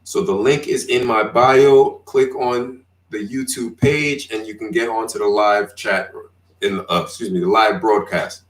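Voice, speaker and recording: Man, livestream host, casual rambling talk, lots of fillers, lowish voice, roomy sound. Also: fairly even intonation, unhurried, distant mic. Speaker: podcast host. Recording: roomy, from off the mic.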